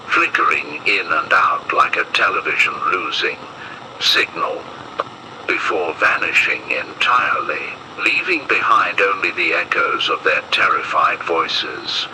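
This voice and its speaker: Man as deep, slow, ominous emergency announcer. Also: noisy background, exaggerated voice. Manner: solemn, ominous, deliberate. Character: grave male emergency broadcast announcer warning of anomaly. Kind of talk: analog horror emergency broadcast narration.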